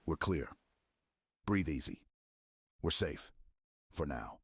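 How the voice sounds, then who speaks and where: Man voicing a very deep, stoic super-soldier. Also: slow, no room sound, clean studio sound, full character voice. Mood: calm, reassuring. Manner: steady, clipped, reassuring, military. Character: stoic, protective armored male super-soldier, calm commander. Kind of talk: ASMR roleplay, scripted dramatic monologue. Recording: clear background ambience noise.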